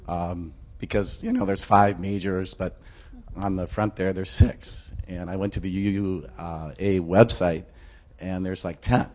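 Hoarse low-voiced man, halting. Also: level tone, a little mellow. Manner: halting, many fillers. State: hoarse, getting over illness. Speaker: congregation member. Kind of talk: casual talk at a meeting. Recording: dull lo-fi room recording.

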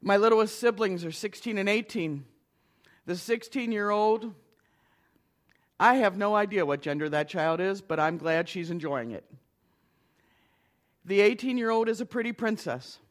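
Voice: medium pitch, expressive, measured pace, pauses often. A middle-aged minister giving a conversational lecture to an audience, with wry asides.

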